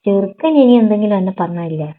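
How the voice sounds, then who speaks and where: A woman with a northern Kerala accent speaks in an intimate, conversational way. Her mid-pitched voice is husky and reaches the listener through a narrow, tinny telephone connection. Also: quick pace. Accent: Kerala Malayalam, northern. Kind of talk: casual intimate phone chat. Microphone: telephone call. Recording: tinny phone call.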